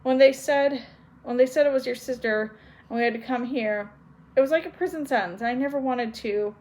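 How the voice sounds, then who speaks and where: A frightened young girl, voiced by a woman reading a story stammers and pleads in a high, timid voice. The words trip over each other in small, anxious restarts. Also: brisk, a little mellow, natural voice, poor audio. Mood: earnest.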